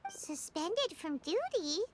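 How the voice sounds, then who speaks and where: A woman voicing a small, excitable fairy-like child companion in a video game, squeaky and childlike, full of curious exclamations. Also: slow pace, over music, heavily acted. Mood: inquisitive. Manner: speaks of herself in third person, exclaiming.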